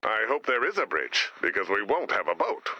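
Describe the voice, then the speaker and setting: Worried, wildly swooping game comrade. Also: male voice, lowish voice, very animated, brisk, pushed and bright, breathy edge, full character voice, low-quality recording. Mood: worried, dry. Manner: worried, dry. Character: anxious, cautious, pessimistic male game comrade. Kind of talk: video game voice lines.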